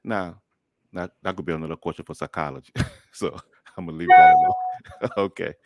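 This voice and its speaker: A man's voice: sits low, fairly quick, darkish tone, dry recording, clean studio sound. A man from Liberia hosting a podcast interview, chatty and friendly, laughing easily as he asks questions. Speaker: host.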